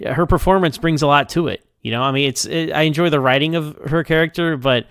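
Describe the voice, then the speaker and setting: A man giving casual, unscripted recorded feedback as a listener, rambling and halting, often repeating words as he goes. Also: on the high side, gravelly, fairly bright tone, smooth, dry recording, clean studio sound. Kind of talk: casual, unscripted episode review.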